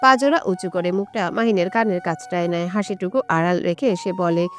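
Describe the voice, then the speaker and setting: A woman's voice: lowish voice, a little fast. A woman reading a romantic audio story as scripted narration. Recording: music bed under the voice. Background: music.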